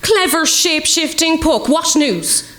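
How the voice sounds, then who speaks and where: A woman's voice: high voice, animated, unhurried, a bit bright. A woman playing an imperious, short-tempered fairy queen, commanding and exasperated in a radio play. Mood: impatient. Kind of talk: stage radio play acting. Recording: echoey live stage recording.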